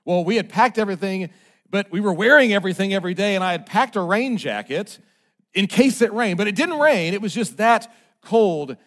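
Chatty pastor with a high voice. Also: male voice, animated, fairly quick. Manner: chatty, self-mocking, checks in with "okay?"; swings from loud and emphatic to low and soft. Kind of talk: conversational sermon.

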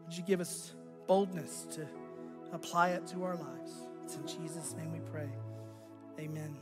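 An American man preaching a conversational teaching sermon as a pastor, with a raspy, strained voice and deliberate, emphatic phrasing. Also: fairly high pitch, steady tone, over loud music. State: strained voice after losing it. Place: large church sanctuary. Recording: long hall reverb from sound system.

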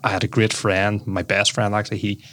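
A man's voice: on the low side, level tone, fairly quick, a bit bright. A man in his forties from Northern Ireland with a Belfast accent, telling his life story as a podcast guest in a chatty way, often addressing the host by name. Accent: Northern Irish, Belfast. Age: 40s. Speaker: guest, former lawyer.

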